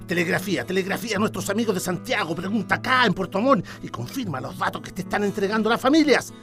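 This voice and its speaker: A man's voice: sits high, expressive, fast talker, fairly bright tone, soft background music. An actor with a Chilean accent playing a cautious, authoritative newspaper director in a radio drama, speaking emphatically and repeating his words. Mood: commanding. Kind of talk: Spanish-language radio drama acting.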